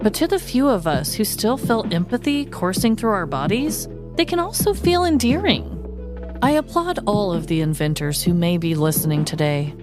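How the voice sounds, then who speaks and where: A woman's voice: medium pitch, expressive, normal speed, over music. A woman podcast host reading a scripted true-crime story in a playful, dramatic way.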